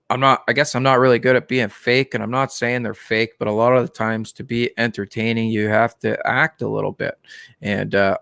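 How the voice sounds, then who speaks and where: A man hosting a live stream about the aquarium hobby, talking casually in a monotone, with many fillers and restarts. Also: medium pitch, dry recording, clean studio sound. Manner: plain, unhurried talk with many fillers and restarts.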